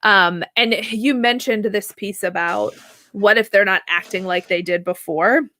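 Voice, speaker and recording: A woman in her thirties, a therapist guest answering at length in a casual, animated way, laughing easily and often checking in with "right?". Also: medium pitch, unhurried. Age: 30s. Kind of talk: conversational interview answer.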